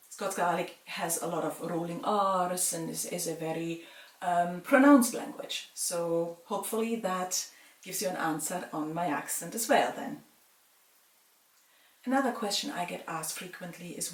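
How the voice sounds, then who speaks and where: A middle-aged woman from Germany calmly answers viewer questions in a casual vlog, pausing with frequent ums. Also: on the low side, recorded from a distance. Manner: calm, measured, with frequent ums. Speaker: vlogger. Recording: roomy sound from away from the mic.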